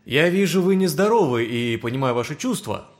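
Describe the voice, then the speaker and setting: A man's voice: a little high, lively intonation, brisk, natural voice. A man playing a formal, stern presiding judge in a theatrical audio drama, questioning a witness in an official, insistent manner. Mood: formal concern. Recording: dramatic music behind the voice. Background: music.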